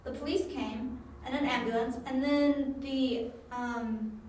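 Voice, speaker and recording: A woman's voice: high-pitched, steady tone, takes it slowly, a little mellow. A woman playing a spirited, cheeky young female informant in an amateur audio drama, chatty and a little grudging. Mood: eager, recounting. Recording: distant, very echoey, faint room noise.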